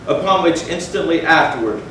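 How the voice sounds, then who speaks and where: A man narrating gothic horror as a dramatic stage reading, solemn and theatrical with deliberate phrasing. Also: on the high side, animated, bright, forceful tone. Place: indoor theatre, recorded from a distance. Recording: very echoey hall, far mic, noisy.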